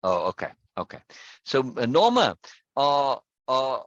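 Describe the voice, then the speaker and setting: A man speaks close into a boom mic during a casual video-call discussion, with a loud, dry, present sound. He lays out his point at length, circles back to it, and keeps asking his listener whether she follows. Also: huge pitch swings, unhurried, dry recording, highs cut off. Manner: explains at length with repeated check-ins like "right?" and "yes?". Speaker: discussion leader.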